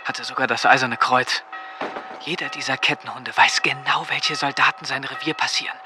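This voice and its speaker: Man playing teenage soldier, wary, sharp-witted, radio play, medium male pitch, background music. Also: steady tone, normal speed, lightly acted. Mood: wary, insistent. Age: young adult. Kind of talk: German radio play acting and narration.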